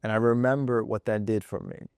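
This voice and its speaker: A man's voice: lowish voice, steady tone, a little fast, a little mellow, clean tone, dry recording. A man from the Chicago suburbs, a fashion designer guest in a studio interview, speaking earnestly with many restarts. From Chicago suburbs, USA. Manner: earnest, restarts a lot, says "yo" and "of course". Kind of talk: casual interview chat.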